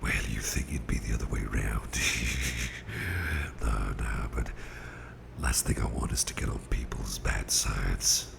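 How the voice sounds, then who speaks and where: A man playing a gruff but tender, protective boss in an ASMR roleplay, murmuring soothingly in a gravelly, breathy half-whisper. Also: extremely low pitch, steady tone, noisy background, exaggerated voice. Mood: mildly annoyed. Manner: soothing, unhurried, folksy, murmured. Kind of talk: ASMR roleplay, intimate personal attention.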